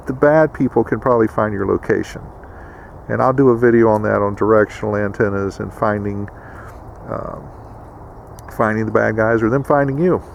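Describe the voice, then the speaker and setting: A man hosting a casual instructional vlog with a gravelly voice, addressing viewers in a folksy way. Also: on the low side, slight background noise. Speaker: video host.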